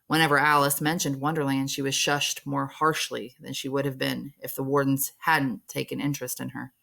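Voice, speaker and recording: A woman's voice: rumbling low, flat delivery, normal speed, creaky, fairly bright tone. A woman narrating a novel aloud as an English teacher would, reading scripted storybook prose.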